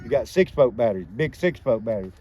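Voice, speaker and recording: A man's voice: mid-range voice, animated, fast talker, mellow, dull audio. A middle-aged man with a Southern US accent telling an anecdote casually in a folksy, rambling, plainspoken way. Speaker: storyteller on a homesteading family channel. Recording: noisy ambient background.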